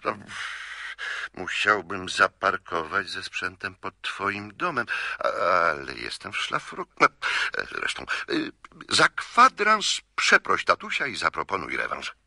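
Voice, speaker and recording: A sly coach in a radio play with a low, sharp-edged voice. He talks haltingly, like a conspirator, swinging widely in pitch. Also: sing-song, gravelly, no room sound, studio quality, full character voice. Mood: conspiratorial. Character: sly male chess coach, scheming accomplice. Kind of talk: radio drama acting.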